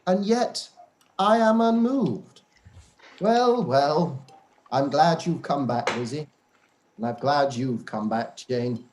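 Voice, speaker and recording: A man's voice: on the high side, animated, slow pace, noisy background. A man playing a wry, unbending older father in a live-read radio play, teasing in a dry way.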